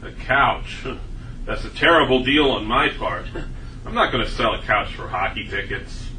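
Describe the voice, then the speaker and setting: A man plays a blustery, easily exasperated family dad with a bright, forceful voice that jumps around in pitch. He is sarcastic and quick to shout, recorded at a comedy table read in an echoey room. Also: lively intonation. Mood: gruff. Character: gruff, exasperated suburban father who dotes on hockey. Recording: noisy echoey room from a distance.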